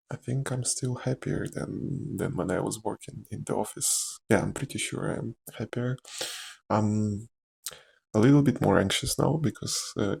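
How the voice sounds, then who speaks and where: A young man with a Slavic accent talks softly and candidly in a meandering ASMR chat, full of fillers and small laughs. His voice sits fairly low, with a heavy gravelly fry running through it and a husky, breathy edge. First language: Czech or another Slavic language. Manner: soft-spoken, rambling and candid, with frequent fillers and quiet laughs. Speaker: actor.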